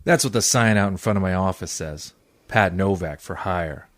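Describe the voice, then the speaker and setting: Man playing a low-voiced, deadpan hard-boiled private eye. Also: normal speed, no room sound. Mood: wry, world-weary. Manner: dry, deadpan, cynical. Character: hard-boiled, wisecracking male private eye and odd jobber. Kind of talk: old-time radio detective acting, narration and dialogue.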